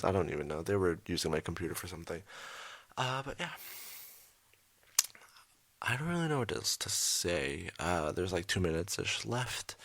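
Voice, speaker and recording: A young man hosting his art channel, rambling through a late-night voiceover with lots of ums, speaking quietly and a little raspy. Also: lowish voice, no echo. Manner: rambling, many ums, trailing off. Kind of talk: casual voiceover over a speed drawing. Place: his bedroom at home, at night.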